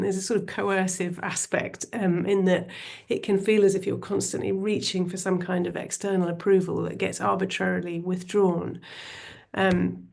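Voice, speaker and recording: Middle-aged woman, panel speaker, croaky from a cold, gravelly edge, hesitant fillers, video call. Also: medium pitch, a little mellow. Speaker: panel speaker from a health and arts alliance. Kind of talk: online panel talk.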